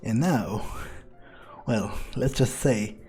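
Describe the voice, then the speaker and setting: A man voicing a weary, threatening vampire as in-character video game roleplay, dry and deliberate in manner, with a breathy voice. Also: medium pitch, mellow, soft background music. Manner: deliberate, dry, menacing, swears. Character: brooding, threatening male vampire, weary and impatient.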